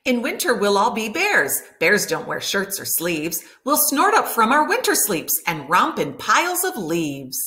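A middle-aged woman reads a children's picture book aloud like a teacher, animated and clearly enunciated for young listeners. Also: medium pitch, a little slow, few pauses. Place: room at home by a window, indoors.

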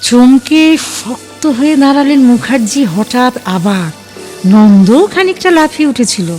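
A woman narrating an audio story in an expressive, dramatic scripted style that builds suspense. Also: fairly high pitch, measured pace, soft background music.

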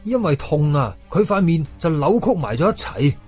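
Husky Hong Kong man telling horror stories. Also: on the high side, sing-song, moderate pace, a little mellow, faint music behind. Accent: Hong Kong Cantonese. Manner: colloquial storyteller asides and exclamations. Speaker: horror storyteller. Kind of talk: dramatic first-person audio story narration.

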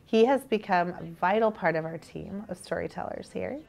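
Earnest American woman, low creaky voice. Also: normal speed, darkish tone. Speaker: chief video producer. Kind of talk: interview for a short documentary. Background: faint music.

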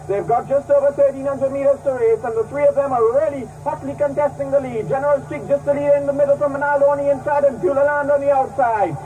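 High-pitched male race caller, fast clipped delivery, distant old broadcast sound. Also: dark tone. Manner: rapid, clipped race calling. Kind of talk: horse racing commentary.

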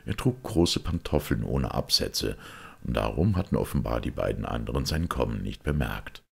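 Very deep, gravelly male storyteller. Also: very animated, normal speed. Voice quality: gravelly, husky. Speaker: audiobook reader. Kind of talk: scripted literary narration of a detective story.

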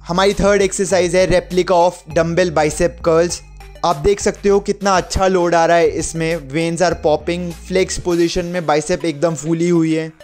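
A young man explains exercises as a home workout instructor. His voice sits a little high for a man, and he slips English gym terms into his narration. Soft music plays behind him. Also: steady tone, unhurried. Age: young adult.

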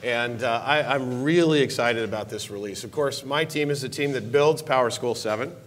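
A man in his forties on a conference stage, giving an enthusiastic keynote speech about a product release. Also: moderate pace. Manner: upbeat, enthusiastic pitch with stressed repeated words. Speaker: keynote speaker, technology executive. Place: large conference hall stage. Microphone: lapel mic.

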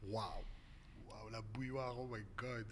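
A man's voice: lowish voice, slow. A man in his thirties with a Québécois accent, telling stories in a casual podcast chat and dropping English words. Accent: Québécois French. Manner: animated storytelling, mixes English words. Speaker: comedian guest.

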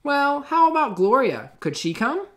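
Storybook school principal, cheerful woman, acted by a man, much raised pitch, polite phone manner. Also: sing-song, unhurried, a bit bright, exaggerated voice. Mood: bright, polite.

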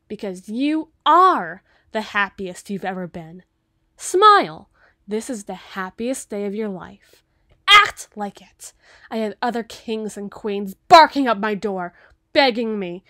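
A woman playing a slow, imperious evil queen. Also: fairly high pitch, fairly bright tone, no room sound, studio quality, exaggerated voice. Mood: indignant, possessive. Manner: theatrical, imperious, menacing, deliberate. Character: cruel, possessive evil queen, haughty and coldly flirtatious. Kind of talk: ASMR roleplay acting.

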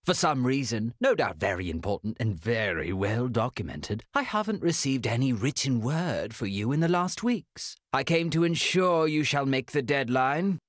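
An actor voicing a smug, menacingly polite financial official, speaking in formal, drawn-out theatrical phrases for a video game. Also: male voice, mid-range voice, big pitch swings, dry recording, clean studio sound. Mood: smugly polite.

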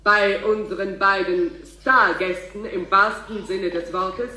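An actress playing a polite, gushing TV studio announcer in a radio drama. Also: female voice, medium pitch, steady tone, a little slow, sharp tone. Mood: gushing, instructive. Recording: echoey hall announcement.

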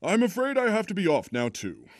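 A man's voice: sits high, huge pitch swings, a little fast, no room sound, studio quality. A man voicing an exuberant, self-important police detective in a theatrical, hearty way for a game fan dub. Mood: businesslike.

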